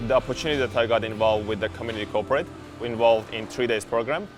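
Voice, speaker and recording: A man from Iran giving an interview testimony, pausing and searching for words as he speaks. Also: medium pitch, music in the background. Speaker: employee sharing his story.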